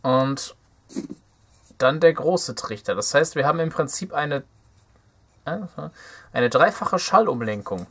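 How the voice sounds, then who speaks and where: A man's voice: medium pitch, animated, slow pace, long pauses, fairly bright tone, breathy edge. A young man giving casual hands-on commentary as he works at an indoor bench, thinking aloud and muttering playfully to himself. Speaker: DIY electronics video host. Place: indoor workbench.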